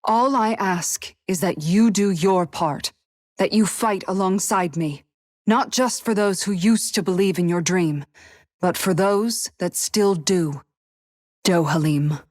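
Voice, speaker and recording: An actress playing an earnest, resolute young woman guard in a video game cutscene, speaking in a measured, formal, sincere way. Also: lowish voice, darkish tone, no room sound, studio quality. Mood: solemn, resolved.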